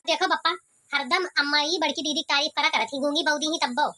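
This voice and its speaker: A woman's voice: sits high, steady tone, fast, sharp tone. A woman voicing a resentful, complaining young college girl in an Awadhi cartoon comedy, with an Awadhi accent. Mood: sulky, indignant. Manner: complaining, scolding. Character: resentful, sharp-tongued young college-going younger daughter.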